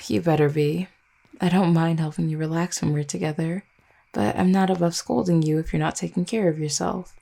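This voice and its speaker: Vampire girlfriend for roleplay audio, played by a woman: lowish, slightly creaky voice, affectionate, caring, gently teasing. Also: fairly even intonation, normal speed. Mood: concerned, scolding. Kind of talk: intimate roleplay audio, soft conversational acting.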